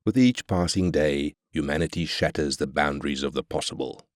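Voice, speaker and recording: An arrogant business magnate with an extremely deep voice, recorded dry and close. He is brash, cynical and commanding, a callous corporate boss from a strategy game. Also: brisk, no echo. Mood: grand, confident. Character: ruthless, arrogant South African corporate tycoon. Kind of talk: scripted game character quotes.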